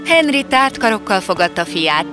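A woman reading scripted narration for an animated fairy tale, with an expressive storytelling lilt. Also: medium pitch, over music.

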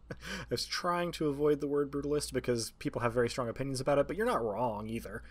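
A man running a tabletop game as game master, narrating and bantering off the cuff with hesitant, deliberate descriptive phrasing. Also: medium pitch.

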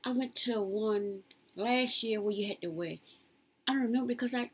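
A woman in her late fifties rambling through her life story in a casual, lively way. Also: moderate pace, breathy edge. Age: 50s. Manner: lively, swoops high when quoting others.

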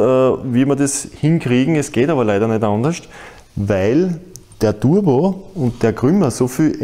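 Casting: Austrian man, forties, motorsport presenter, dark relaxed voice, informal explanatory delivery. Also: mid-range voice.

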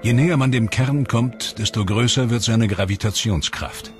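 A man narrating a science documentary in a measured, ominous scripted voice-over. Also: medium pitch. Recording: studio voice-over over loud music. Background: music.

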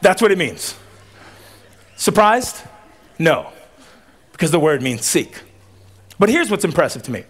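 Animated man preaching at a graduation. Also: on the high side, unhurried, long pauses, breathy edge. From Tonga. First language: Tongan. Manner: animated, joking, audience call-and-response. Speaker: commencement speaker. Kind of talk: lively commencement sermon. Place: outdoor tent stage at a graduation.

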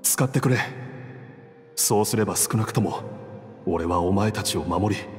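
A man acts out a dying, devoted father from a video game. His low voice is weary and solemn, with wistful pauses between phrases. Faint music plays underneath. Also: darkish tone, lightly acted. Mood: resolved. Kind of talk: Japanese video game voice acting.

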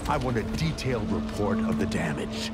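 A man's voice: on the low side, animated, moderate pace, loud music behind the voice, natural voice. A man playing a worried, authoritative middle-aged father and company boss in a dramatic video game. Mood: commanding.

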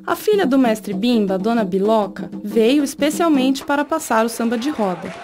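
A woman reading scripted documentary narration in an even, informative way. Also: on the high side, moderate pace. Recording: voice-over over loud music and crowd.

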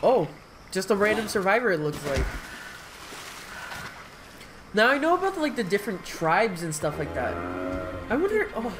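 A man's voice: sits high, sing-song, fairly quick, loud music behind the voice, low-quality recording. A man in his thirties hosting a casual live reaction, rambling and exclaiming in slang, recorded in a room at home. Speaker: reaction video host. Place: home room with kitchen, indoors.

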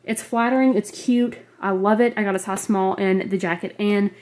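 A woman's voice: medium pitch, steady tone, moderate pace, darkish tone. An American woman in her twenties with a slightly congested, nasal voice, chatting casually and gushingly as a fashion vlogger into a handheld camera. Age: 20s. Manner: gushing, emphatic, frequent "like". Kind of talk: casual clothing haul chat. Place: bedroom, indoors. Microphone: handheld compact camera at arm's length.

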